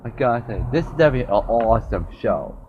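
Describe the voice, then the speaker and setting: A man's voice: medium pitch, lively intonation, fast talker, mellow. A young man with a sore throat reviewing a TV show in a casual vlog, rambling and often repeating and restarting his words. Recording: dull lo-fi with faint noise.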